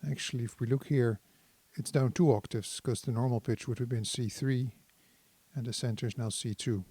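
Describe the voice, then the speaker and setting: A man giving a casual hands-on tutorial as a synthesizer demonstrator, thinking aloud in an unhurried, explanatory way. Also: medium pitch, fairly even intonation, dark tone, slightly rough, dry recording.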